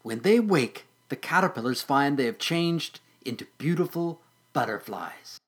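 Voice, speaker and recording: A storyteller voicing a patient older sister caterpillar in a soft, soothing tone. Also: medium pitch, animated, unhurried, husky. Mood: gentle. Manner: soft, soothing, sometimes whispered.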